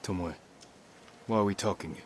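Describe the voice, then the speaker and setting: A man's voice: low-pitched, moderate pace, faint music behind, natural voice. A man playing a stoic, dutiful younger samurai in a video game, with a serious, restrained, clipped delivery. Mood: firm. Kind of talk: dramatic video game acting.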